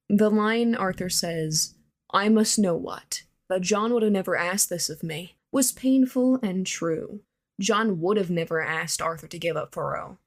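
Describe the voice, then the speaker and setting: Earnest woman with a slightly low voice. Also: a little mellow. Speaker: listener sending a voice message. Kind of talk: prepared monologue reviewing a story.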